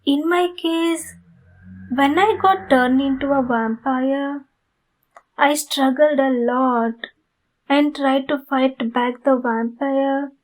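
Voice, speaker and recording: Woman voicing a slow, high-voiced polite vampire lady. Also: poor audio. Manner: courteous, formal, earnest, halting phrasing. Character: polite, pleading female vampire in need of blood. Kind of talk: ASMR roleplay addressed to the listener.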